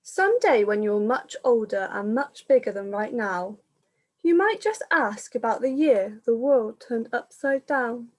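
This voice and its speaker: A woman, a primary school teacher, reading a picture book aloud to young children in a measured, explanatory manner. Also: fairly high pitch, animated.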